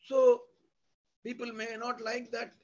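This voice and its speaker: A man with an Indian accent and a husky voice gives an academic lecture, explaining with examples in an emphatic, didactic way and piling up synonyms and lists. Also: extremely high pitch, little pitch movement, slow pace, a little mellow. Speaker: university lecturer. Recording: dull lo-fi audio.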